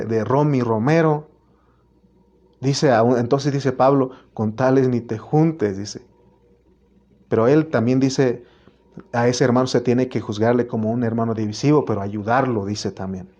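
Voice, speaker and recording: Mexican pastor preaching, mellow low voice, kinda muffled lo-fi recording. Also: male voice. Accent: Mexican Spanish. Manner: earnest, repetitive preaching with restarts. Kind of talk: sermon.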